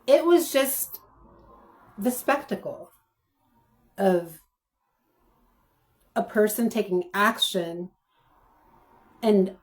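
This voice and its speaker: A woman with a hoarse, worn voice talks to her viewers at a very slow, unhurried pace. She pauses often and restarts her sentences as she thinks aloud. Her pitch sits in an ordinary middle range. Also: expressive, rough recording. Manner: addresses viewers directly. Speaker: commentary channel host. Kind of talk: spoken video commentary.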